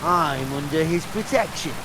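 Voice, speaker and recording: A man's voice: fairly high pitch, expressive, moderate pace, heavy background noise, close to an everyday voice. An actor playing a rude, arrogant medieval mine foreman in a video game. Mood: gruff. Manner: rude and dismissive. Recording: game audio with loud rain and thunder.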